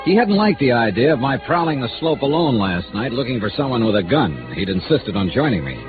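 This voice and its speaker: An actor playing a wry American insurance investigator with a dry, clipped, wisecracking delivery in a 1950s radio noir drama. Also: male voice, mid-range voice, big pitch swings, brisk, music in the background, lightly acted. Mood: wry narration. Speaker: detective narrator and lead. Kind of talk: 1950s radio noir acting, first-person narration. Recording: tinny, band-limited old radio recording.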